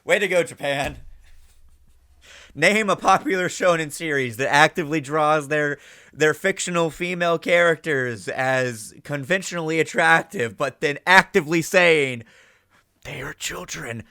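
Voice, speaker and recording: A man giving casual, animated reaction commentary, thinking aloud and prone to swearing. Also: a little high, measured pace, no room sound, clean studio sound.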